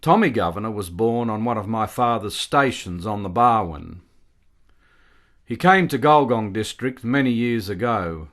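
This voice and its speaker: An actor playing a matter-of-fact, callous colonial squatter's son reminiscing in a radio play. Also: male voice, mid-range voice, fairly bright tone.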